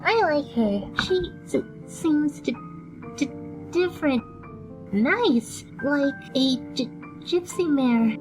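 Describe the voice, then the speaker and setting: A meek, gentle pony servant in an audio drama. The voice is very high and slow, broken by a heavy stutter. Music plays clearly in the background. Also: female voice, expressive, poor audio. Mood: shy admiration. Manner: meek, hesitant. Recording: music bed behind the voice.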